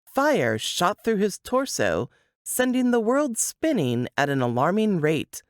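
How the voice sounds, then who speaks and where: A woman's voice: mid-range voice, sing-song, slow pace, dry recording, studio quality. A woman reading scripted fiction narration as an audiobook narrator.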